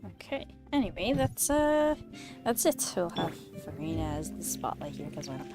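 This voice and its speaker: Casual female game streamer, chatty and giggly, clear background music. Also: on the low side, sing-song, moderate pace. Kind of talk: casual livestream chat about a game.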